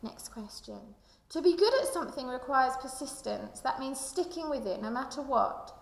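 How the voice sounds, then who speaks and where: In a very reverberant room, a woman reads to children like a primary teacher. Her voice sits fairly high with a creaky edge, and she addresses her young listeners directly. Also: expressive, moderate pace. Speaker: primary school teacher reading aloud to children. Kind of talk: expressive children's storybook read-aloud with reflective questions. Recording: echoey room with long reverb.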